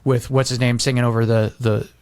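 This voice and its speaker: A man's voice: mid-range voice, flat delivery, fairly quick, darkish tone, clear, resonant tone, no echo, clean studio sound. A middle-aged man chatting casually on a podcast in a rambling, blunt way, with some swearing. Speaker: podcast host. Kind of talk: casual chat.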